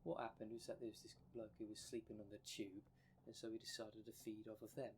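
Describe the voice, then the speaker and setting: Guy doing a cheeky dream demon bloke, quick talker, low voice, blunt n slangy. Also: level tone, darkish tone, slight background noise, close to an everyday voice. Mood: scolding. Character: blunt, cheeky dream demon, bickering half of split creature. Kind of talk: ASMR roleplay audio drama.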